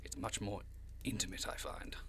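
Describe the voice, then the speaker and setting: A man's voice: low-pitched, normal speed, a bit bright, whispered. A man playing a proud, refined old monster in an audio drama, speaking formally and with condescension. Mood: savouring, macabre. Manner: formal, condescending, stern when offended, savours words. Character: proud, refined old cryptid creature, macabre bone-carving artist.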